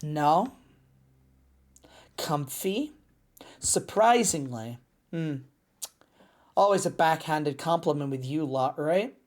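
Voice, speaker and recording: A woman voicing a cocky, gruff but motherly lycan mercenary in an audio drama, with a teasing, sardonic drawl. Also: low voice, lively intonation, slow pace, pauses often, a little mellow. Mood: bossy, teasing. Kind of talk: scripted audio roleplay drama.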